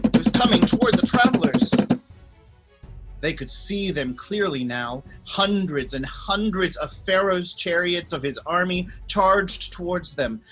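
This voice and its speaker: A priest telling a Bible story to children in an expressive, friendly way, addressing them as friends. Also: male voice, high voice, unhurried, over music, rough recording.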